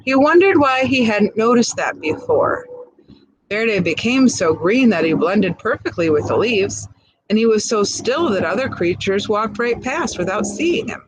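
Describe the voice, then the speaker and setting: A woman reading a picture book aloud expressively, pausing to chat to young listeners and ask them questions. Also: mid-range voice, very animated, low-quality recording. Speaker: storybook reader.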